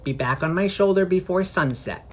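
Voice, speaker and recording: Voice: mid-range voice, moderate pace, pitched above the performer's own voice, natural voice. A narrator voicing a female gnome wizard with a casual, blunt and fond way of talking. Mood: unapologetic.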